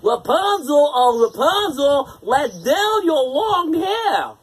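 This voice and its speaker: A storyteller playing a gallant, earnest young prince with declamatory, drawn-out delivery in a fairytale. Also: sits high, sing-song, pitched above the performer's own voice, exaggerated voice. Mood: calling out.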